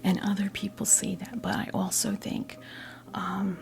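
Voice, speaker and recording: A woman in her fifties gives an ASMR tarot reading in a breathy whisper, halting often as she reassures the listener. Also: mid-range voice, flat delivery. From New Jersey, United States. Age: 50s. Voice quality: breathy whisper mixed with soft creaky voice. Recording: music playing behind the voice. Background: music.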